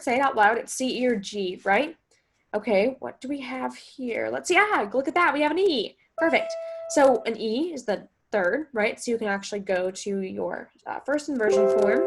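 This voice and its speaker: Higher-voiced woman teaching a guitar lesson. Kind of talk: instructional music lesson, explaining while demonstrating.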